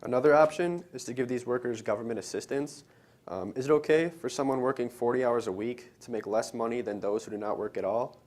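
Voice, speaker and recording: A young man giving an earnest, deliberate scripted reading as a student presenter at a live event. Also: medium pitch, a little mellow. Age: young adult. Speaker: student presenter in readers theater. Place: college library event, live on stage.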